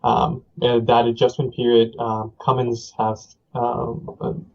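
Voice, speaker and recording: Podcast guest: young Indian-born man, Punjabi first language, level mid-pitched voice, halting reflective delivery, muffled lo-fi audio. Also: completely flat delivery, vocal fry. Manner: thoughtful, many fillers and restarts. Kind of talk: conversational interview. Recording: dull lo-fi audio.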